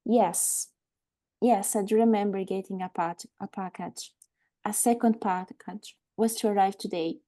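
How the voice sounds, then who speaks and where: A frank, composed woman with an undercurrent of unease, played by an actress at a live table read of a film script. Her tone is mellow and relaxed at a medium pitch. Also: unhurried, lightly acted. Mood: uneasy, respectful. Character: earnest, frank warehouse woman named Aoife, uneasy but composed.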